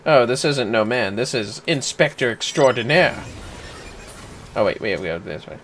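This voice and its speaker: Game streamer, bright and lively, playful sweary offhand chat. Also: medium pitch, normal speed, slight background noise. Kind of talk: casual livestream chat while playing a game.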